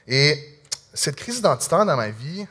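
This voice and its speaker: Québécois guy giving his testimony, earnest, kinda halting, lots of restarts. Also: fairly high pitch, big pitch swings, sharp tone, breathy edge. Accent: Québécois French. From Quebec. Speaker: speaker sharing a personal testimony. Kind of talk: personal testimony to an audience.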